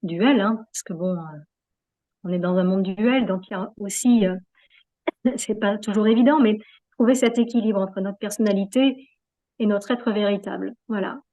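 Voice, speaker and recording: A woman's voice: mid-range voice, normal speed, mellow. A woman being interviewed in a reflective, conversational way, hesitating often as she searches for her words. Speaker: interview guest, yoga practitioner.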